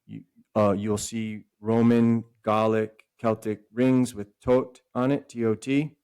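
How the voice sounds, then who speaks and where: A man's voice: fairly low pitch, flat delivery, slow, darkish tone, clear, resonant tone. A man hosting a podcast, eagerly explaining at length with many asides and self-corrections. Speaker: podcast host and author. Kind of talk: casual podcast conversation.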